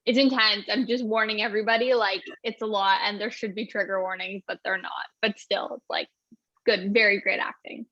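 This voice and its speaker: A woman's voice: medium pitch, steady tone, brisk, no room sound. Bubbly young woman, dull dry sound. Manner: bubbly, says "like" constantly, laughs often. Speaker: singer-songwriter guest. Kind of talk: casual interview chat.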